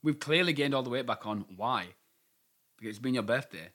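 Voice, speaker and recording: A man with a bright, crisp-edged voice at an average male pitch. He co-hosts a casual chat full of banter, with sassy, campy teasing throughout. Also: a little fast. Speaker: co-host.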